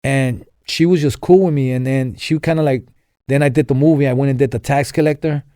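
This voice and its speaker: Young guy from LA rambling through his story, mellow dark voice, dry sound. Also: medium pitch, fairly even intonation, a little fast, clear, resonant tone, no echo. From Los Angeles. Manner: rambling, many fillers and restarts. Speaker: podcast guest. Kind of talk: casual storytelling interview.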